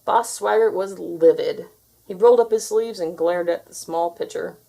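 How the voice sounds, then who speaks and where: A woman reading a children's story aloud as an expressive narrator. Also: lowish voice, moderate pace, vocal fry, low-quality recording. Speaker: storybook reader.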